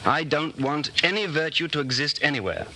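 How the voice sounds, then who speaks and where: A man's voice: a little high, animated, normal speed, pushed and bright, heavily acted. An actor playing an anxious, bitter man in his late thirties, a secret dissenter, with earnest intensity in 1940s radio style. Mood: bitter confession. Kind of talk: 1940s radio drama acting. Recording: faintly noisy old 1940s radio recording.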